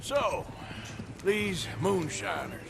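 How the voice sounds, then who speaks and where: An actor playing a sardonic, terse American outlaw of the Old West in a game, deadpan and dry. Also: male voice, high-pitched, extremely slow, vocal fry, background noise, full character voice. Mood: wry. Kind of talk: video game cutscene acting. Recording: music behind the voice.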